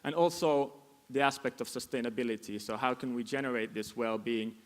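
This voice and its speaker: A man's voice: mid-range voice, brisk. A man from Finland giving a conference presentation, with frequent fillers and repeated words. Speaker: conference speaker. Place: conference hall. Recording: echoey hall.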